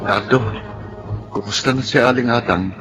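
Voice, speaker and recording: A man's voice: mid-range voice, normal speed, natural voice. A man acting as a wronged, peaceable young man in a Filipino radio drama, earnest and pleading, restrained but firm. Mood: subdued, sincere. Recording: loud music behind the dialogue.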